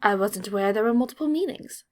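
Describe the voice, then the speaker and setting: A woman's voice: mid-range voice, lively intonation, fast, close to an everyday voice. A woman voicing a clever, aloof schoolboy in an audiobook, cool, dry and matter-of-fact. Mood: coolly unbothered.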